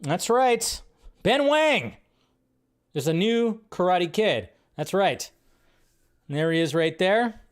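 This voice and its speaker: A man's voice: high voice, big pitch swings, slow, long pauses, clear, resonant tone. A man hosting a casual movie news show, rambling and laughing as he talks. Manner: rambling, laughs often, trails off. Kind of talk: casual solo commentary.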